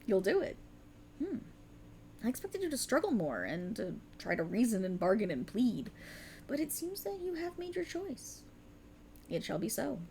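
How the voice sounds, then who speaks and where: A woman acts out a cruel, seductive otherworldly goddess in an ASMR roleplay. Her voice is fairly high with a heavy creak. She speaks with haughty, theatrical condescension, taunting her mortal servant over faint hiss. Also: sing-song, moderate pace, long pauses, a little mellow. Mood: imperious, satisfied. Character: cruel, haughty otherworldly warlock patron, a seductive dark deity. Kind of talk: ASMR roleplay, scripted dramatic monologue.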